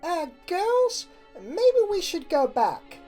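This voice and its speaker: A woman's voice: extremely high pitch, big pitch swings, slow pace, dark tone, soft background music, voice pushed up, full character voice. A woman voicing a nervous, irritable and sarcastic young pegasus filly in a story reading. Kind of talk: character voice in fan fiction reading.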